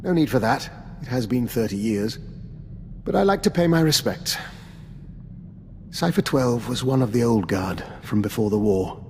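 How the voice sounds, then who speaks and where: An actor playing an urbane, calculating old doctor and veteran spy in a video game, with a refined, courteous, understated manner. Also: male voice, medium pitch, lively intonation, normal speed, pauses often, darkish tone, long reverb tail, a little hiss or hum, lightly acted. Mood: wistful, grave. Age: older. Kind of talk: video game dialogue acting.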